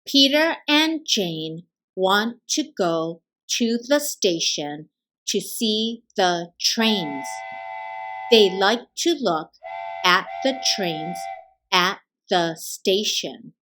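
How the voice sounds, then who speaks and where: A woman reading a children's early reader aloud, deliberately enunciating each word as a narrator. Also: mid-range voice, expressive. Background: background music.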